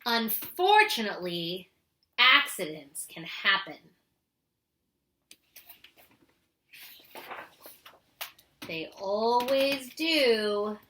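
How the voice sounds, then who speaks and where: A woman reading a picture book as an earnest, anxious male egg, with expressive, deliberate pauses. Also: on the high side, slight background noise, full character voice, rough recording. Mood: resigned. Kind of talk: picture book read-aloud narration.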